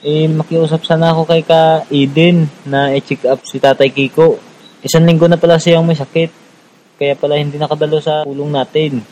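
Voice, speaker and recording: An actor playing a polite, concerned Filipino village organizer in a radio drama. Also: male voice, a little high, level tone, normal speed, darkish tone, natural voice, poor audio.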